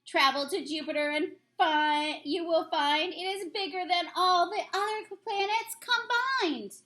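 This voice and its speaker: Female teacher, children's read-aloud, sing-song delivery, bright high voice. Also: a little slow. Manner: sing-song, expressive storybook reading. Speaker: teacher reading a picture book aloud.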